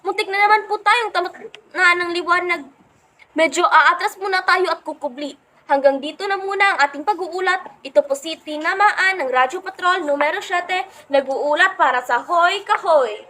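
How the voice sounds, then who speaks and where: A very high, bright female voice put on by a woman acting as a dramatic radio patrol reporter. She reports live with excitement and keeps addressing listeners, over faint background noise in a radio play. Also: moderate pace. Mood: alarmed.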